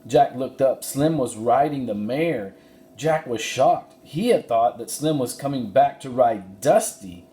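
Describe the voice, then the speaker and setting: A man giving an expressive narration of a children's storybook. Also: medium pitch, unhurried. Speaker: story reader. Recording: roomy echo, faint background noise.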